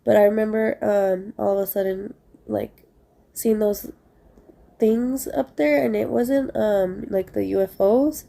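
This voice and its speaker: A woman's voice: mid-range voice, fairly even intonation, a little slow, gravelly, dark tone. A young woman with a stuffy, congested voice, casually telling a rambling story to her viewers with many fillers. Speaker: vlogger.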